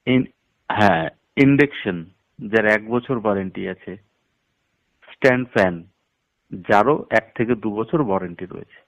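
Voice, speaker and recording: A man's voice: on the low side, normal speed, pauses often. A man explaining a business plan step by step over a phone call, like a promoter instructing a prospect and stressing the figures. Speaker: network marketing promoter. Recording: dull, lo-fi phone call audio.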